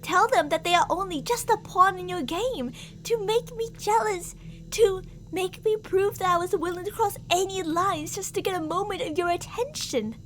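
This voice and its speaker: A woman's voice: extremely high pitch, moderate pace. A woman playing an obsessively jealous, murderous stalker lover in an ASMR roleplay, theatrical and possessive, swinging between coaxing and menace. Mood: coaxing, insistent. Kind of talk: ASMR girlfriend roleplay acting. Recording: audible background noise.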